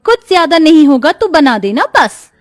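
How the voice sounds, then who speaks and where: A woman's voice: sits high, big pitch swings, moderate pace, dry recording, clean studio sound, faint music behind. A woman voicing a bossy, spiteful young stepsister in a melodramatic storybook tale, taunting and haughty.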